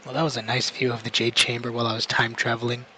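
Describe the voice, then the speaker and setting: Man, average pitch, casual gameplay commentary, streamer, self-deprecating, jokey. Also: level tone, fast, sharp tone, faint music behind, low-quality recording. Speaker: streamer playing a game.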